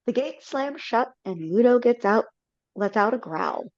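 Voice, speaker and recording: A woman's voice: a little high, lively intonation, unhurried, darkish tone, dry recording. A woman reading the stage directions aloud in plain, matter-of-fact narration. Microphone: video call. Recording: dull dry video call audio.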